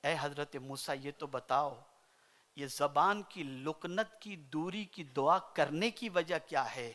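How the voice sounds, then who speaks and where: A man from India, preaching a sermon in an oratorical style that swings between loud declaiming and soft recitation. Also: fairly high pitch, slow pace. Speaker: Islamic preacher.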